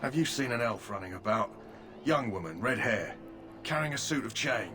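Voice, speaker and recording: A man's voice: medium pitch, expressive, moderate pace, sharp tone, faint music behind. A man voicing a fussy army quartermaster in video game dialogue, with hesitant, sly salesman patter. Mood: worried.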